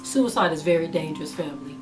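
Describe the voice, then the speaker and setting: Earnest woman preaching over soft music. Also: deep voice, animated, fast. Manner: earnest, repeats phrases for emphasis. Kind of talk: sermon-style teaching.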